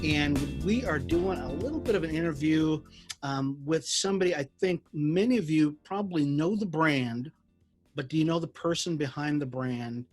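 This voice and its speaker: A man's voice: fairly high pitch, normal speed, soft background music. A man of Jamaican family hosting a casual interview, friendly and full of restarts. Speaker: interview host.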